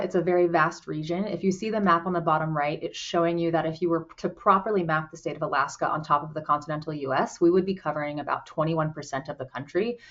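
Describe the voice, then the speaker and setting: A woman from Colorado, a program director, giving an earnest conference presentation with frequent "um"s over a video call. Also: low-pitched. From Colorado, USA. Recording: muffled video call audio.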